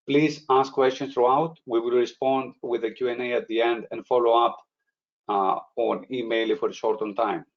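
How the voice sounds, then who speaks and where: A man's voice: medium pitch, steady tone, moderate pace, lo-fi sound. A man hosting a business webinar, speaking hesitantly with many "uh" fillers. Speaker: webinar host. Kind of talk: webinar presentation.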